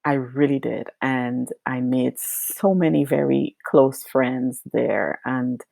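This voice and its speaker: A woman from Guyana, a guest in a casual interview who affirms warmly and often says yes. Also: very deep voice, slow pace, vocal fry, no echo.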